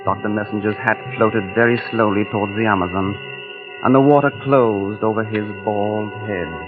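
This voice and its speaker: An actor in an old radio drama narrating as a weary, feverish explorer, with a measured, reserved and politely clipped delivery. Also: male voice, on the low side, fairly even intonation, natural voice. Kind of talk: 1940s radio acting. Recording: muffled old radio broadcast with music.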